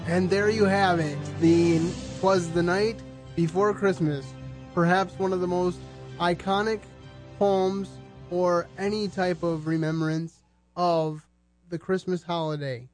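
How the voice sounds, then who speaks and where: A man hosting a podcast in a conversational style, with measured, halting phrasing. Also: high voice, flat delivery, soft background music. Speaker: podcast host. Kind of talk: conversational podcast presenting with religious commentary.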